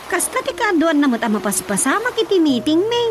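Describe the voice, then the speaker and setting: A woman's voice: very high voice, big pitch swings, brisk, background noise. An actress with an Ilocano Filipino accent playing a friendly village woman in a radio drama. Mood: chatty. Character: Rosa, friendly woman active in village farm projects. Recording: music bed behind the voice.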